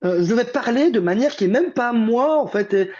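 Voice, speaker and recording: Young man, high voice, rambling guest, muffled lo-fi sound. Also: animated, brisk, a little mellow. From Belgium. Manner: rambling with many fillers and restarts. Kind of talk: casual conversation.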